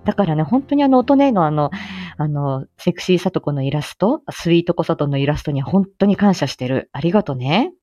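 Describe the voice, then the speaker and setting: A middle-aged woman hosts a casual livestream in a fairly low voice. Her intonation moves up and down freely as she chats in a playful, self-deprecating way. Music plays softly behind her. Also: animated, a little fast. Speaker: livestream host.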